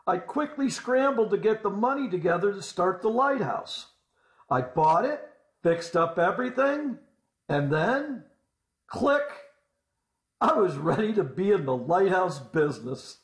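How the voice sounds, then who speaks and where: A man with a fairly high voice narrates a scripted story in the first person. He speaks deliberately, with folksy asides put to the listener. The recording is roomy, with clear echo around his words. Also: big pitch swings. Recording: roomy, echoing voice-over.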